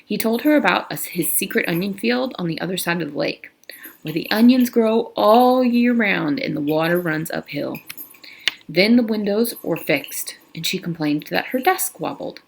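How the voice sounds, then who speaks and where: A woman's voice: mid-range voice, animated, normal speed, creaky, slight background noise, low-quality recording. A woman reading a novel aloud in a casual manner, with slips and restarts. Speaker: reader.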